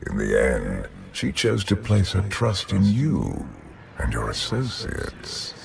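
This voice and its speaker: An extremely low, gravel-throated ancient overlord, regal and coolly amused. He speaks with imperious deliberation, as in a video game cutscene, over noticeable ambient noise. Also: huge pitch swings, slow pace, exaggerated voice.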